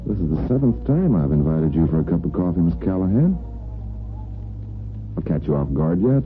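An actor playing a friendly, persistent bachelor neighbor in a 1950s radio soap, with an easygoing, teasing manner. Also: male voice, deep voice, very animated, moderate pace. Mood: teasing, courting. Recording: muffled old radio broadcast, faint music.

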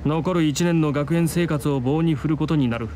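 An actor plays a prim, moralizing male student. His voice sits a bit high and he speaks formally, like an old-fashioned scold in an anime game, with faint street ambience behind. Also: normal speed, warm tone, a little hiss or hum, natural voice. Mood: stern, composed. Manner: formal, admonishing, archaic turns of phrase. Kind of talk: Japanese visual novel acting.

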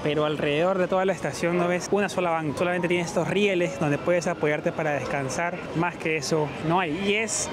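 A man's voice: on the high side, noisy background. A man in his thirties with a Peruvian accent, explaining things casually to his viewers as a travel vlogger. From Peru. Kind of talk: explanatory vlog narration, casual talk to viewers. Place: mostly outdoors on city streets. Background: background music.

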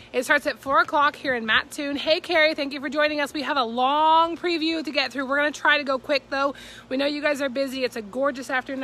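Brief: young woman, high bright voice, chatty and teasing, noisy phone livestream. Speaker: auction company host. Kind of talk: casual livestream walkthrough. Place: auction storage shed, walking among items.

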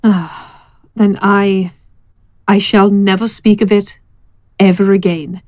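Actress as sly female spell-dabbler, unhurried, coaxing, mid-pitched, dry close sound, audio drama. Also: level tone, a little mellow, no room sound, lightly acted. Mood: bossy, coaxing. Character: sly, flirtatious woman friend dabbling in spells.

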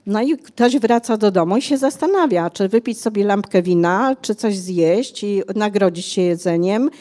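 Older female author, mellow and unhurried, fills pauses often while explaining. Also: mid-range voice. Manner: hesitant, many fillers, explanatory. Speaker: author guest. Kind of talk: conversational interview. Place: bookshop cafe stage, indoors. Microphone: handheld mic.

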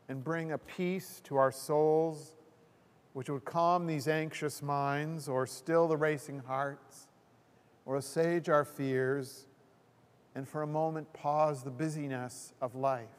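An older man, a pastor in his sixties or beyond, delivering a sermon in a measured, reflective, reverent way at a church lectern microphone. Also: on the high side. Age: 60s or older. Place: indoor church, at a pulpit. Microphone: gooseneck lectern microphone. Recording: long echoing hall reverb.

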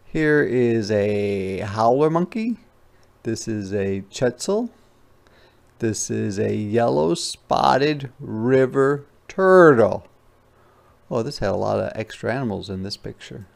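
A man's voice: mid-range voice, lively intonation, slow pace, creaky. A man reading a picture book aloud as a teacher, explaining things simply and conversationally for children. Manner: conversational, repeats phrases.

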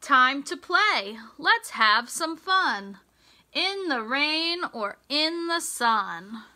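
An American woman reading a picture book aloud to young children, in a deliberate, sing-song teacher's delivery with emphasized words. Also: high voice, sing-song, sharp tone. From United States. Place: small indoor room with racks of hanging clothes.

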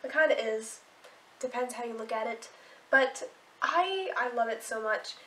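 A woman's voice: fairly high pitch, expressive, unhurried, bright, forceful tone, reverberant, low-quality recording. A teenage girl with a sore throat and stuffy nose chatting casually to camera about clothes, bubbly and full of likes and restarts. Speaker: vlogger. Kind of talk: casual haul video chat.

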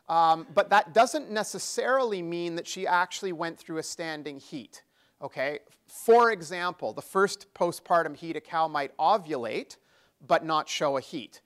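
A middle-aged man giving a technical seminar lecture with a handheld mic in an exhibition seminar room, explaining step by step with frequent fillers and restarts. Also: high-pitched. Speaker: conference speaker. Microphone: handheld microphone.

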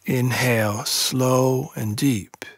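A man guiding a sleep meditation in a soft, soothing, measured voice with long pauses between phrases. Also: medium pitch, fairly even intonation, no echo. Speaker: meditation guide. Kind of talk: guided yoga nidra sleep meditation.

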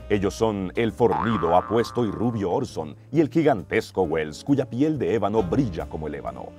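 A man's voice: fairly low pitch, expressive, moderate pace. A Colombian man playing a pompous, ominous narrator of a detective radio serial, close on a studio mic. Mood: ominous, grand. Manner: pompous, suspenseful. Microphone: close studio broadcast mic. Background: music.

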